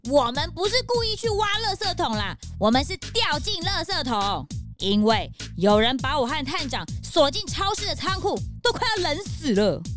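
A woman's voice: sits high, sing-song, moderate pace, over music. A woman voicing an eager young detective's sidekick in a children's audio drama. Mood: eager, explaining.

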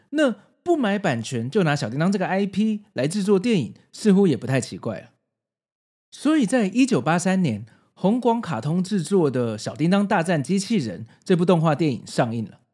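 Man, Taiwanese Mandarin accent, slightly high-pitched, very varied intonation, podcast host, narration with casual commentary. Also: dark tone.

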